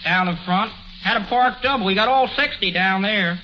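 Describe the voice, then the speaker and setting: A man's voice: high-pitched, normal speed, lightly acted. An actor playing a young American country delivery boy in a 1940s radio comedy, blunt, folksy and unbothered. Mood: matter-of-fact. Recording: noisy, muffled old radio recording.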